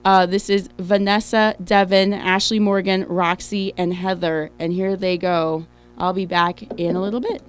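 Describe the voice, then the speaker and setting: A woman hosting a radio dating show, chatty and slightly hoarse from losing her voice, with lots of ums. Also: medium pitch, flat delivery, normal speed. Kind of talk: casual radio show intro.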